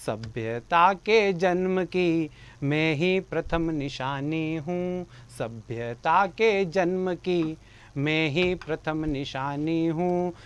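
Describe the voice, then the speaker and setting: A man's voice: on the high side, extremely slow, clean tone. A man reciting patriotic poetry, declaiming each couplet twice with rising force. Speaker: poet.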